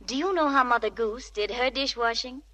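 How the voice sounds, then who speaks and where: A woman's voice: fairly high pitch, normal speed, a bit bright, lightly acted. A woman playing a chirpy young joker in scripted 1940s radio ad banter. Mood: chirpy, teasing. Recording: old radio broadcast.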